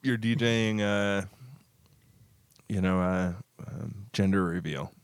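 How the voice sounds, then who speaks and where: A man co-hosting a podcast, talking in a relaxed, wry way and thinking aloud. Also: sits low, takes it slowly, clear, resonant tone, no room sound. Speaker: podcast co-host. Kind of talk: casual chat.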